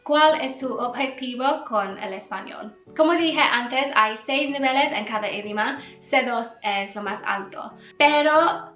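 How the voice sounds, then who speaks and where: A woman's voice: fairly high pitch, measured pace, a bit bright, echoey room, faint music behind. Chatty English woman learning Spanish. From England. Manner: chatty, laughs often, learner slips in grammar. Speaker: YouTuber, language learner. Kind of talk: casual Q&A vlog.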